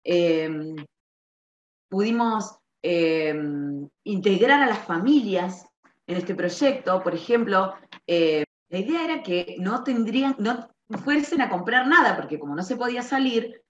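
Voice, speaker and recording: Woman, middle-aged, Argentine Rosario accent, medium pitch, informal explanation, hesitant pauses, dull computer mic. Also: lively intonation, a little hiss or hum. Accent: Argentine, Rosario area. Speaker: school librarian. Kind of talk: informal spoken account of a school project. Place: small bare-walled room indoors. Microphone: computer microphone on a video call. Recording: dull, echoey webcam audio.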